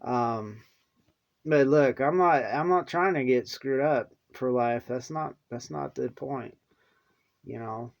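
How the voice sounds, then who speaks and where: A man talks to his viewers in a loose, rambling monologue. He restarts often and trails off into soft mumbles, then brightens again. His voice sits at a middle pitch. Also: creaky, lo-fi sound. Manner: halting, many restarts and fillers, mumbles softly then perks up. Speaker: vlogger.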